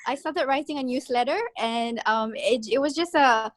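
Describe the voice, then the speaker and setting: A woman's voice: high-pitched, moderate pace, no room sound, clean studio sound. A woman in her thirties from Singapore, chatting casually on a video call, laughing often and full of fillers. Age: 30s. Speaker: guest newsletter writer.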